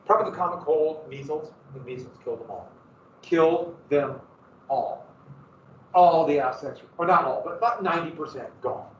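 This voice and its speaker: A man's voice: on the high side, normal speed, slight background noise. A man with a Montana accent giving a casual, rambling classroom history lecture as a teacher. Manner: casual, rambling, self-deprecating. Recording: echoey classroom from far off, dull.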